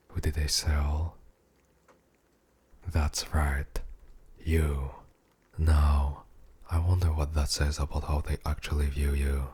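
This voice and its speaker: A man acts out a menacing mafia boss in an ASMR roleplay, with an extremely low, husky and breathy voice. He murmurs in a narrow, controlled range, possessive and seductive, talking down to his captive with intimate condescension. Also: warm tone, exaggerated voice.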